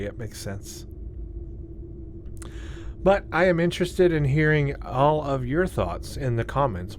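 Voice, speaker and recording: A man's voice: medium pitch, slight background noise. A man hosting a gaming video, giving casual tour commentary and reading on-screen text, his voice tired and starting to give out.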